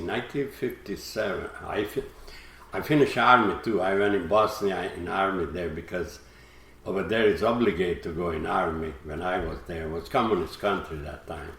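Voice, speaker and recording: An older man from Croatia with a Croatian accent, telling his life story in an interview in halting, broken English with many pauses and fillers. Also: low voice, fairly bright tone, echoey room. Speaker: guest, retired fisherman.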